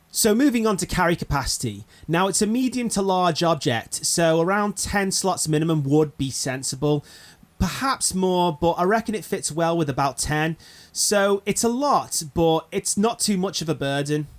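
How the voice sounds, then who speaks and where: A man narrating an informal gaming explainer, talking directly to viewers in a conversational way. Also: on the high side. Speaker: video presenter of a gaming concept. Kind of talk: informal explainer narration of a game item idea.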